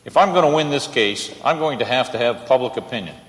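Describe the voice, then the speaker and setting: Casting: male actor as loyal, persuasive lawyer for radio play; mid-range male voice, lively inflection, echoey hall. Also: mid-range voice, quick pace, close to an everyday voice. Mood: sly, confident. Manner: earnest, persuasive. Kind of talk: old-time radio play acting. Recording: echoey hall with long reverb.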